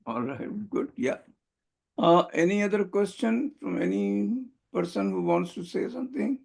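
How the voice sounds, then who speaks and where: A man's voice: high voice, animated, a little slow, creaky, darkish tone. A man moderating a panel, calling for questions with brief, polite prompts. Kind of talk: chairing a panel Q&A.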